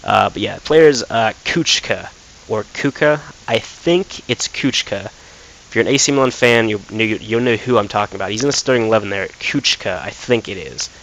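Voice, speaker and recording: A man's voice: fairly low pitch, normal speed, creaky, fairly bright tone, a little hiss or hum, low-quality recording. A young man casually commenting while playing a football game, thinking aloud with lots of fillers and restarts. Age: young adult. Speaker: gaming commentator.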